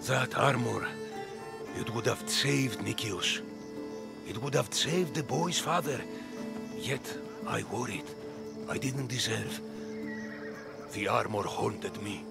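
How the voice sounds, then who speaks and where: A man playing a gruff, guilt-haunted collector in a video game, speaking in a brooding, clipped, cryptic way. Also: medium pitch, lively intonation, takes it slowly, pauses often, loud music behind the voice, full character voice. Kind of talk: video game cutscene acting.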